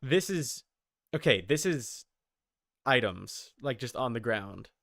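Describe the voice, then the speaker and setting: A man's voice: medium pitch, lively intonation, slow pace, creaky, a bit bright, no echo. A man streaming casual reaction commentary, thinking aloud in broken phrases close to the mic.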